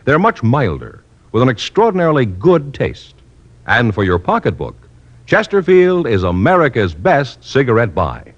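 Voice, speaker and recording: A man announcing a cigarette commercial on 1950s radio with an emphatic sales pitch. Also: huge pitch swings, normal speed. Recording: dull old radio broadcast.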